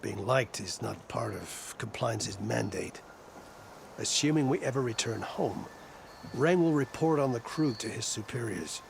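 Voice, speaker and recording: Gravelly, weary old fleet admiral. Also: male voice, medium pitch, lively intonation, slight background noise. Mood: grave, resigned. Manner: formal, grave, measured, archaic phrasing. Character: weary, stern older male fleet admiral, guarded and pessimistic. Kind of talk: video game cutscene acting. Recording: music behind the voice.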